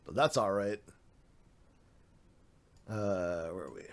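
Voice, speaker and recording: A man's voice: sits low, lively intonation, slow pace. A man streaming, reading a document aloud with sarcastic, mocking asides, his voice getting a little worn.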